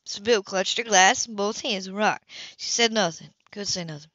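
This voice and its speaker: A woman's voice: medium pitch, moderate pace, fairly bright tone, no room sound. A woman reading a romance novel aloud as an amateur audiobook narrator. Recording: dull lo-fi home recording.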